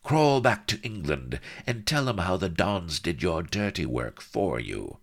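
A fierce, sultry pirate woman of storybook adventure, acted out by a man. She taunts in a smoky, scornful snarl, creaky and animated. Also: deep voice, unhurried, pitched above the performer's own voice, exaggerated voice.

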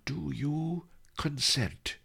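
A man voicing a calm, wry old sage who speaks softly and slowly. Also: mid-range voice, vocal fry, husky, pitched above the performer's own voice. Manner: soft, slow, measured.

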